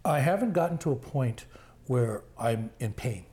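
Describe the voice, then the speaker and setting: An older man with a hoarse voice, talking slowly with many fillers in a casual family interview. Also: mid-range voice, expressive, dark tone. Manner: slow, halting, many fillers. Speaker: grandfather being interviewed.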